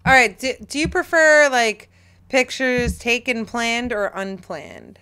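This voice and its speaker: A woman in her thirties co-hosting a casual podcast, chatty, teasing and animated. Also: a little high, slow pace, clean tone. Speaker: podcast co-host. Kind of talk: casual chat.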